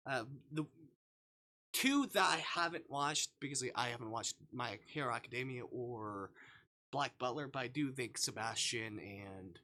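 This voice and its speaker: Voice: mid-range voice, measured pace, bright, forceful tone. A live streamer chatting casually while playing visual novels, rambling and thinking aloud.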